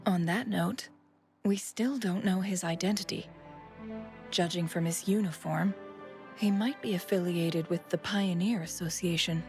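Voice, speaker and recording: An actress playing a composed, courteous female warrior in a video game, measured and polite. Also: on the low side, darkish tone, soft background music. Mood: serious, composed. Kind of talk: scripted game dialogue.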